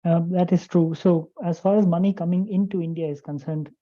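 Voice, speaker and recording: A guest on a business webinar over a video call, explaining compliance rules in a measured, careful way. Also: mid-range voice, fairly even intonation. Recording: dull lo-fi call audio.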